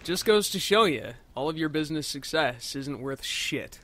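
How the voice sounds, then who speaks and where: A man's voice: on the high side, animated, normal speed, bright, forceful tone. A man co-commentating a let's play in casual, wry, exclamatory chat.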